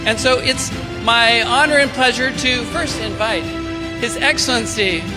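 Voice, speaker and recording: A man hosting a panel at a business summit, introducing guests and asking questions in a measured, formal, polite way. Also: very high-pitched, loud music behind the voice, poor audio. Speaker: panel emcee. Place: large conference hall.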